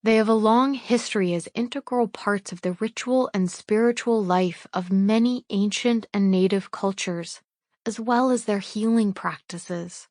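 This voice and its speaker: A woman reading a book excerpt aloud in a formal, measured audiobook style. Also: mid-range voice, darkish tone, dry recording, studio quality. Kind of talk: scripted read-aloud, audiobook-like narration.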